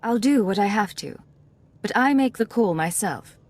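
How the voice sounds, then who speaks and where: A woman's voice: mid-range voice, expressive, moderate pace, a little mellow, lightly acted. A woman voicing a cool, self-assured secret agent in a video game, terse and controlled. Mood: cool, determined.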